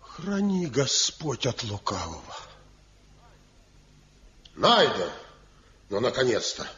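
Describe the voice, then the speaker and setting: A man's voice: mid-range voice, huge pitch swings, slow pace, pushed and bright, slightly rough, full character voice. An actor playing a pious elder master craftsman in a 1970s radio play, earnest and reproachful as he pleads. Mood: pleading. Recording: dull lo-fi old radio recording.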